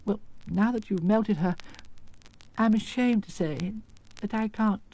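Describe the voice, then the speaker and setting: Trembling, high-pitched little old man. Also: measured pace, creaky, a little mellow, rough recording. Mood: confiding, apologetic. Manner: flustered, stammering, pleading. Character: timid little old man, a fraudulent wizard. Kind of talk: storybook character acting.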